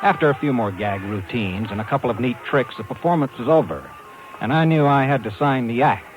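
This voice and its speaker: An actor playing a brash, hard-boiled talent agent and narrator in a 1950s radio drama. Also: male voice, medium pitch, brisk, lo-fi sound, soft background music, lightly acted. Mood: wry, reflective. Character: brash, persistent male New York talent agent.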